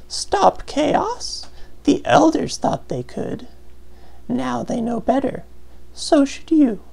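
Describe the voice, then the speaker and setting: An actress playing an elderly, motherly weaver guardian in a video game, speaking in a grave, portentous, deliberate way. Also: high-pitched, very animated, gravelly, darkish tone, heavily acted. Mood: solemn urgency. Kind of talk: dramatic video game voice acting.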